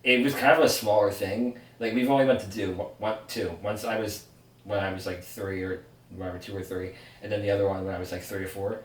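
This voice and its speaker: A man's voice: lowish voice, steady tone, brisk, a little hiss or hum. A man in his twenties co-hosting a podcast, chatting casually in a rambling, self-correcting way. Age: 20s. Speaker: podcast co-host. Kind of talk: casual sibling chat. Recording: echoey room, distant mic.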